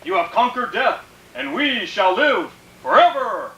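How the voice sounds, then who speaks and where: A man playing an excitable villager in a theatrical radio drama, shouting and declaiming. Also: high-pitched, a little slow, full character voice. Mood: awed, exultant. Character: excitable, loud villager awed by a miracle. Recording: dull, echoey, distant radio recording.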